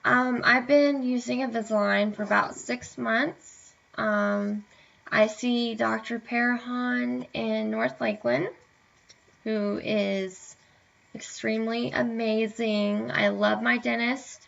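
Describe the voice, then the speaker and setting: Slow, gravelly-voiced young woman vlogging. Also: fairly high pitch, steady tone, fairly bright tone. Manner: hesitant, many fillers. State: slightly hoarse, tired. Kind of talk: casual chat to camera. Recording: dull lo-fi webcam audio.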